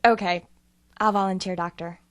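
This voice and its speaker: A woman's voice: mid-range voice, fairly even intonation, normal speed, fairly bright tone, no room sound, close to an everyday voice. A woman playing an eager, self-assured postdoc lab assistant in a satirical sci-fi audio drama. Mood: determined. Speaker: actor.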